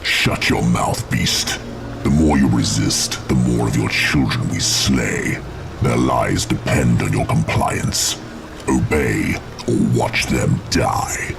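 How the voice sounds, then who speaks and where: A man voicing a cruel, proud orc warlock commander in a gravelly, menacing growl over music in a fantasy game. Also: very deep voice, takes it slowly, exaggerated voice. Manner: menacing, domineering. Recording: loud music under the voice. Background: loud dramatic music.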